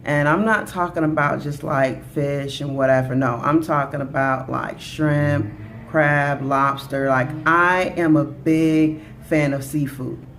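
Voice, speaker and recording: A woman's voice: deep voice, steady tone, slow, slight background noise. Chatty upbeat woman from Jamaica vlogging. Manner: chatty and upbeat, many fillers like "you know". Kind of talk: casual talk to camera.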